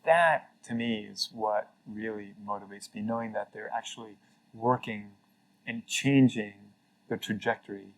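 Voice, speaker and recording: A man's voice: lowish voice, measured pace, slight background noise, poor audio. A middle-aged man from Mexico, speaking earnestly and reflectively as an interview guest, with frequent fillers and restarts. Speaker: interview guest, former carbon registry CEO. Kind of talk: casual conversational interview.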